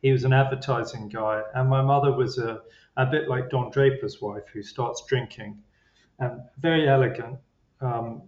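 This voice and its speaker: A man's voice: mid-range voice, steady tone, moderate pace, a little mellow. A man who grew up in Switzerland, a psychotherapist guest, speaking hesitantly with many fillers and restarts. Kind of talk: interview conversation. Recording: dull lo-fi roomy sound.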